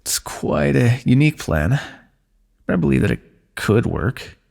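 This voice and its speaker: A man playing a weary, wry fantasy warrior in an ASMR roleplay, speaking in measured, formal phrases with dry teasing. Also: mid-range voice, very animated, gravelly, darkish tone, exaggerated voice. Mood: thoughtful, scheming. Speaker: ASMR voice actor. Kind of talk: ASMR fantasy roleplay, one-sided dialogue.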